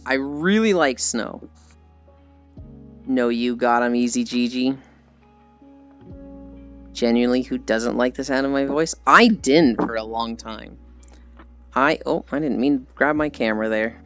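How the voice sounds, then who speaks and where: A man's voice: mid-range voice, measured pace, long pauses. An American man livestreaming in a casual, rambling, self-deprecating way, swearing casually as he talks to viewers. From United States. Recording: slightly dull audio with music behind. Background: background music.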